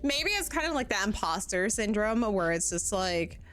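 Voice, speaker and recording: A woman's voice: medium pitch, very animated, moderate pace, fairly bright tone, soft background music. A woman streaming a game, chatting casually with her viewers in a bubbly, giggly, self-deprecating way, sounding tired.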